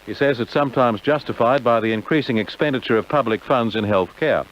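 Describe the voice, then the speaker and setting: An Australian man presenting on radio in a measured announcer's delivery, reading information and news. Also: mid-range voice. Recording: dull, noisy old AM radio tape.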